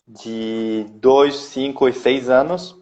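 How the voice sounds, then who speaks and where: A man in his thirties from France speaking Portuguese with a French accent, slow and halting as he searches for words. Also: mid-range voice, fairly even intonation, a little mellow, lo-fi sound. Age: 30s. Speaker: interview guest, company executive.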